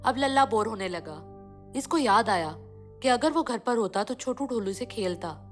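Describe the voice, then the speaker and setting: A woman's voice: a little high, animated, moderate pace, soft background music. A woman reading a scripted children's cartoon story as the narrator.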